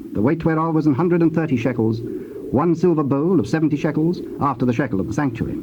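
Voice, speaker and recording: A British man narrates scripture in a formal, measured way, with a fairly high voice. The recording is a dull old cassette with clear background noise.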